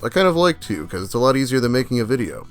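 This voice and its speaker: A man hosts a casual commentary video in a wry, self-deprecating manner. His pitch is average and moves around in a lively way, over faint background music. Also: rapid-fire. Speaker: host, video creator. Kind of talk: casual commentary, reading online posts aloud.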